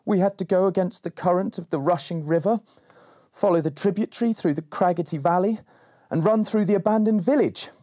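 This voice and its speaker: A man's voice: high voice, fairly quick, warm tone, no echo, studio quality, voice pushed up. A man voicing a timid, fearful young elf boy from a storybook, with a hesitant stammer. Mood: doubtful.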